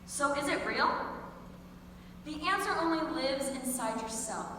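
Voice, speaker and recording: A woman's voice: high voice, animated, measured pace, bright, forceful tone. A teenage girl reciting a monologue as a student performer. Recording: very echoey hall, distant mic, faint noise.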